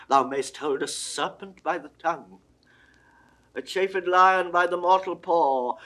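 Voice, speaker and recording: An actor playing a stern, imperious cardinal, declaiming in measured, commanding classical Shakespearean radio-drama style. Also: male voice, fairly high pitch, a bit bright, heavily acted. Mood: stern, threatening. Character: stern imperious Roman cardinal, threatening churchman. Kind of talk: 1960s classical Shakespearean audio drama acting.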